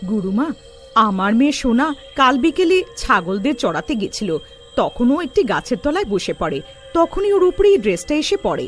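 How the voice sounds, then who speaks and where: A woman voicing an honest, humble witch mother from a poor family in a cartoon story, speaking quickly and deferentially. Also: fairly high pitch, very animated, faint music behind. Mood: humble, pleading. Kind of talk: children's cartoon story acting.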